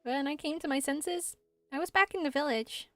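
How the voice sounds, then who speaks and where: A woman's voice: sits high, normal speed, fairly bright tone, soft background music. A woman voicing an earnest, hesitant, innocent young village boy in a game.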